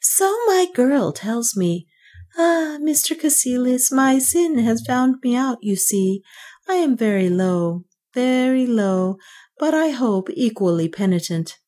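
A sickly old banker in a storybook, as a woman narrator puts him on, speaking in wheedling, unreal tones that jump high and shaky with excitement. His voice climbs well above hers and wavers as he pleads for forgiveness. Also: slow pace, exaggerated voice. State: tremulous, excited. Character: feverish old male banker, wheedling and penitent.

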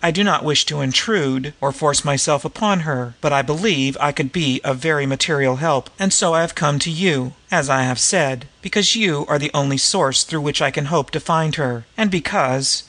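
Man playing a bright-voiced, suave gentleman adventurer. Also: a little high. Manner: grave, formal, imperturbable. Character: suave, unflappable gentleman adventurer, courteous and formal.

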